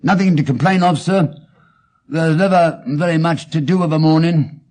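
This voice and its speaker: A man's voice: on the high side, normal speed, a little mellow, pitched above the performer's own voice, close to an everyday voice. A man with an English accent voicing an earnest, indignant tradesman, plaintive and deferential, in an audiobook reading. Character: aggrieved, simple male London tradesman, earnest and indignant. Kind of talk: dramatic audiobook character reading.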